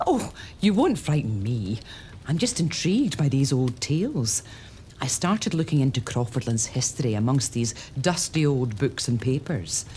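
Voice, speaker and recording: A woman's voice: extremely low pitch, big pitch swings, moderate pace, warm tone, slight background noise. A woman playing a curious young lady of the manor. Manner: eager, inquisitive.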